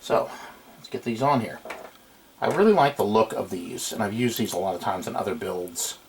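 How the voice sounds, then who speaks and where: A man's voice: fairly low pitch, lively intonation, normal speed, gravelly. A middle-aged man giving a casual, unscripted hands-on demonstration at a workbench, recorded on a camera microphone in a small room. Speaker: hobbyist electronics restorer. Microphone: camera microphone at a short distance. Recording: noisy amateur camcorder room recording.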